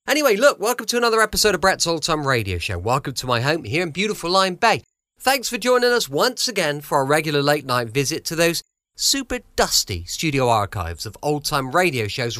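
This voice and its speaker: A man's voice: a little high, sharp tone, no echo. A middle-aged English man chatting casually as the host of a podcast, recorded at home. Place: home, dry close recording.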